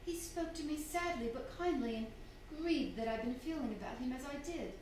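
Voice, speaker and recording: An actress playing a young, unhappily married widow in a stage radio drama, speaking emphatically with dramatic pauses. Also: female voice, sits high, a little mellow, lightly acted. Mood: distressed, pleading. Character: young unhappy widow, cool and composed, pleading when cornered. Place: theatre stage, live performance. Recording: very echoey hall, distant mic, faint noise.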